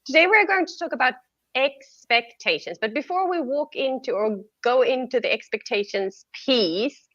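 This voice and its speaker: A woman's voice: high-pitched, lively intonation, moderate pace, a bit bright, lo-fi sound. A woman from Sweden hosting an interview show, friendly and reflective. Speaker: podcast host. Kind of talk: conversational interview.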